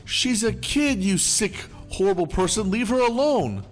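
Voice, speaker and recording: Man putting on a shrill entitled mother. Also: lively intonation, a little slow. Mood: shrieking. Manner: indignant, shrieking, bossy. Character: entitled, shrill, demanding middle-aged mother.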